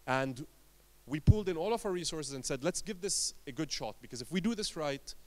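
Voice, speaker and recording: A man from Lebanon giving a conference talk as an entrepreneur, telling his story in short emphatic phrases with dramatic pauses. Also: a little high, lively intonation, a little mellow.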